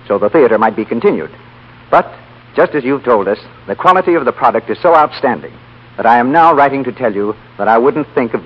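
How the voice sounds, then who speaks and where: A man reading a letter aloud as a 1930s radio advertisement, measured and earnest like a salesman. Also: mid-range voice, slightly rough. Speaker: radio announcer. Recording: dull 1930s radio broadcast recording.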